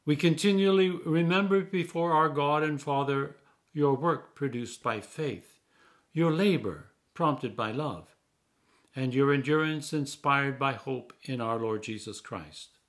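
An older man reading scripture solemnly as a clergyman, with measured, deliberate phrasing and emphatic stresses. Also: on the high side. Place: small indoor room.

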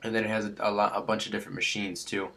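Stuffy-nosed young man, low voice. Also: little pitch movement, very fast, gravelly, pushed and bright, slight background noise. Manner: rambling, frequent uh fillers and restarts. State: stuffed-up nose. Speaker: Minecraft tutorial host. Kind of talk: casual gameplay tutorial.

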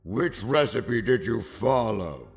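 A man voicing a stern, commanding goat villain with a harsh, booming, gravelly voice in a dramatized story reading. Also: big pitch swings, takes it slowly, heavily acted. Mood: stern, scornful. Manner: harsh, threatening, theatrical. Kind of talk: dramatized fan fiction reading. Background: background music.

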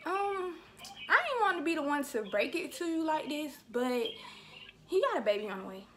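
A young woman speaks casually to camera and on prank calls. Her voice is high and bright, with a sharp edge. She is recorded indoors at arm's length. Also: very animated, normal speed. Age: young adult. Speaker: prank-call vlogger. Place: small bedroom, indoors.

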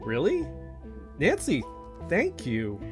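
An actor playing an excitable, anxious amateur investigator in an audio fiction podcast, speaking haltingly and emotionally. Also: mid-range voice, music in the background. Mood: excited.

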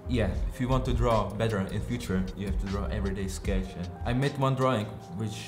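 A man's voice: lowish voice, a little fast. A teenage boy from the Czech Republic, a Czech native speaker, answering interview questions hesitantly with many fillers. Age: teens. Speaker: guest. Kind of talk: casual interview. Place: indoor room with wooden wall. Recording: roomy echo, music under it.

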